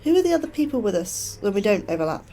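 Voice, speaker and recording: A woman's voice: medium pitch, sing-song, fast talker, dark tone, lightly acted. A woman playing an anxious, inquisitive new scientist in an audio drama, uneasy and questioning. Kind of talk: audio fiction podcast acting.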